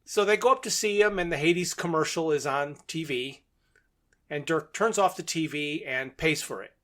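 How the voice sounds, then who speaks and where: Bright-voiced man recapping a story. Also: fairly high pitch, normal speed. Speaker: podcast co-host. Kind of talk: casual discussion, recapping a story.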